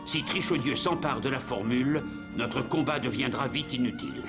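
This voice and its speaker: A man's voice: medium pitch, moderate pace, close to an everyday voice. A man voicing an articulate, theatrical figure who explains sinister schemes in a French-dubbed cartoon. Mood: ominous. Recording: dull lo-fi TV audio, loud music.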